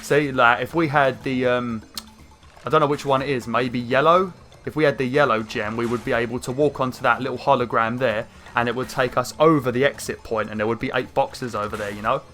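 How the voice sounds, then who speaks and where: A young man with a croaky throat, rambling through casual gameplay commentary with lots of fillers. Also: medium pitch, fairly quick. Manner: rambling, chatty, many fillers, excited outbursts. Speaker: gaming channel host. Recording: faint game music behind. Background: game music.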